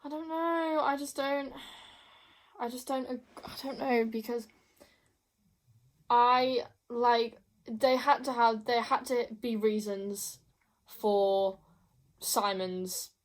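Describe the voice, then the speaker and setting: A woman's voice: fairly high pitch, steady tone, slow, long pauses. A young woman chatting casually as a book vlogger, emphatic and gushing, often repeating herself. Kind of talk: casual chat to camera.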